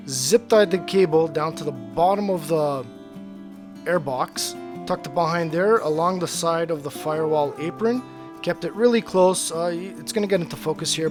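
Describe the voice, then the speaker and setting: Hobbyist man, casual how-to narration, rambling with fillers, voice on the higher side. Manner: relaxed, rambling, many filler restarts. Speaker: vlogger installing a CB radio. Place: parking garage beside his truck.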